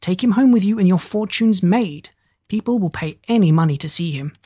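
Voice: mid-range voice, lively intonation, fairly quick, mellow, breathy edge, no echo, clean studio sound. A narrator voicing Chi-Chi, a proud, eager monkey showing off a rare animal. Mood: boastful. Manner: boastful, eager. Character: proud chattering monkey showman.

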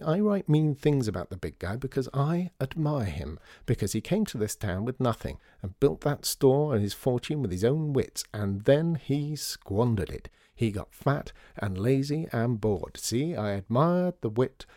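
A man voicing a sharp-tongued female newspaper columnist who talks in quick, cutting, fussy phrases. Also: medium pitch, very animated, no room sound, studio quality, pitched above the performer's own voice. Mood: scornful.

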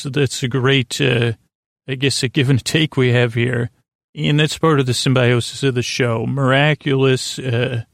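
A man hosting a bedtime podcast in a meandering, unscripted monologue, halting and interrupting himself as he drifts into tangents, with a reassuring, low-pressure manner. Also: vocal fry, no echo, studio quality. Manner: rambling, halting, self-interrupting, trailing into tangents. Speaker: podcast host.

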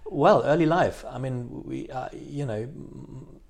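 A man's voice: mid-range voice, slow, vocal fry, darkish tone. A man from Belgium in his forties, speaking as a podcast guest in a reflective way, with many restarts and repeated words. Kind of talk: reflective interview chat.

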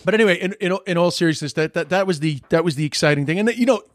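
A man's voice: high-pitched, very fast, dry recording. A man in his forties with an American accent hosting a podcast in casual chat, rambling with frequent restarts. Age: 40s. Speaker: podcast host.

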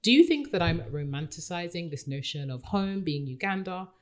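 A woman's voice: fairly low pitch, moderate pace. A young woman co-hosting a podcast, chatting playfully and reading out a listener's letter. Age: young adult. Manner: expressive, playful, jumps in with quips. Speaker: podcast co-host.

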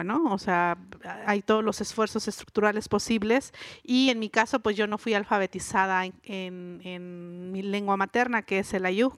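A woman's voice: medium pitch, fairly bright tone, dry recording. Woman guest on a live podcast, thoughtful, lots of "no?" tags, dry close sound. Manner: reflective, many fillers and tag questions. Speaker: guest writer and linguist.

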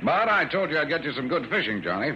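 A man's voice: a little high, very animated, fast. An actor playing a detective's genial, talkative businessman friend in a radio drama, chatty and coaxing. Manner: chatty, coaxing, exasperated at times. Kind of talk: old-time radio drama acting. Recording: muffled vintage radio recording, faint noise.

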